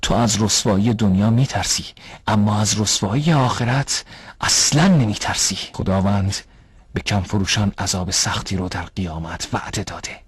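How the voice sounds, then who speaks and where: A man voices a devout cartoon man who calls out dishonest merchants. His voice is low and husky, recorded close and dry. He speaks in an earnest, reproachful, preaching tone. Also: no echo. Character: pious, stern man exposing cheating traders. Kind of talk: dubbed cartoon acting.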